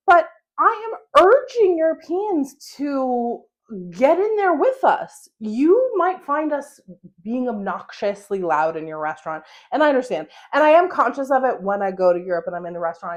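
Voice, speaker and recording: A young American woman hosting her own podcast, talking casually and excitedly with lots of fillers and laughs. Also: fairly high pitch, normal speed, highs cut off. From United States. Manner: chatty, animated, with frequent laughs and fillers. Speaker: podcast host. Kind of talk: solo casual podcast monologue.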